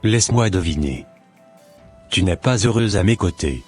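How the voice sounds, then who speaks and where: Man acting a deep, husky, suspicious cartoon husband. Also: animated, moderate pace, faint music behind. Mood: accusing, indignant. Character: suspicious, impatient husband waiting for his unfaithful wife.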